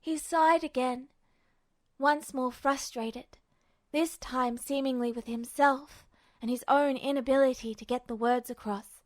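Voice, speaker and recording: High-voiced woman narrating fiction. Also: fairly even intonation, measured pace, dry recording. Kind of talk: expressive scripted story narration.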